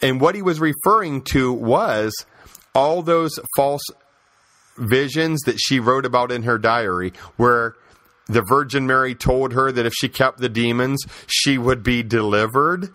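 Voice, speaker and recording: A man with a medium-pitched voice hosts a podcast, recorded close and dry with little room sound. He delivers opinionated religious commentary as conversational storytelling. He leans on repetition and rhetorical questions to press his points emphatically. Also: unhurried, sharp tone, no room sound. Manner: emphatic, repeating phrases for stress. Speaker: podcast host.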